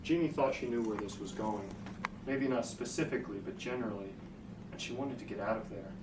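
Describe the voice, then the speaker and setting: Echoey, low-voiced man narrating a story. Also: brisk. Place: hotel room. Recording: echoey, noisy hotel room, distant.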